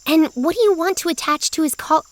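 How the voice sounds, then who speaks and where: A woman's voice: very high-pitched, a little fast, no echo. A woman playing a caring, teasing young girl in a children's audio drama, comforting and playful. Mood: explaining.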